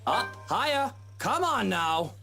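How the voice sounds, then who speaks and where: Man playing rich husband, snobbish, fussy, very lively pitch, haughty, story game character. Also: takes it slowly, vocal fry, faint music behind, heavily acted. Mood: bossy, snobbish.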